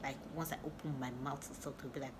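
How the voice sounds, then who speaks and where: Chatty Nigerian woman, low voice. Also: steady tone, quick pace. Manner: chatty, laughing, with many restarts and asides. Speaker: vlogger. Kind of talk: casual storytelling to camera. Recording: loud background noise.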